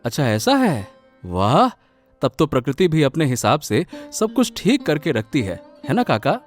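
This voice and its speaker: A man's voice: on the high side, wildly swooping pitch, measured pace, soft background music. A man voicing a polite, appreciative young village husband in a cartoon story. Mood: curious, polite. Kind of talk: Hindi cartoon story voice acting.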